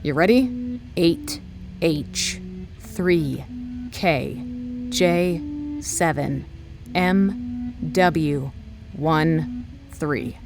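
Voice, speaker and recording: A woman's voice: deep voice, animated, drawn-out words, long pauses, noisy background, full character voice. A woman acting in an audio drama as a weary, terse technician, impatient and troubleshooting under pressure. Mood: instructing, impatient.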